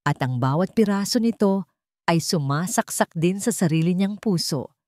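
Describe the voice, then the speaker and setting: A woman's voice: fairly low pitch, animated, normal speed, dark tone, no echo, studio quality. A woman narrator reading romance fiction as dramatic scripted storytelling.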